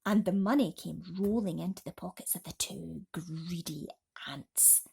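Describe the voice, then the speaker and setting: A woman narrating a children's bedtime story expressively, dramatically dropping into breathy, hushed tones. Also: fairly low pitch, a little slow. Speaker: storybook reader.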